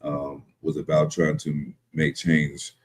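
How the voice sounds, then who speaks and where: A man's voice: a bass voice, completely flat delivery, slow pace, a little mellow, slight background noise. A man in his thirties hosting a live podcast, speaking politely and hesitantly. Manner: polite, hesitant, many fillers and restarts. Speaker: podcast host. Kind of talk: casual live podcast talk.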